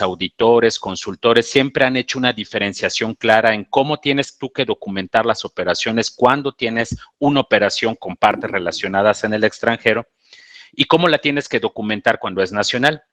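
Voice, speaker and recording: A man's voice: medium pitch, fairly bright tone, no echo. A Mexican man moderating a webinar panel in a conversational, explanatory way and drawing in his co-panelists. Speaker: webinar moderator and tax expert.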